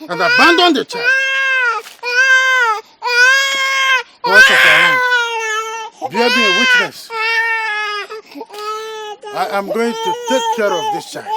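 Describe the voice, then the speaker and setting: A man's voice: very high-pitched, gravelly, heavy background noise, exaggerated voice. A man playing a desperate childless villager who pleads with the gods, then turns tearful and frantic. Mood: tearful, shaken. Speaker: childless husband. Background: loud film score.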